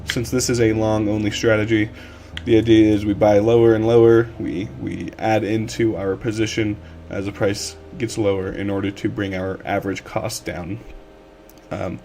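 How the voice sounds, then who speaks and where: A man with a slightly hoarse voice, streaming a casual coding tutorial from his desk and rambling with lots of fillers. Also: fairly low pitch, normal speed. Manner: relaxed, rambling with many fillers. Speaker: livestream coding instructor.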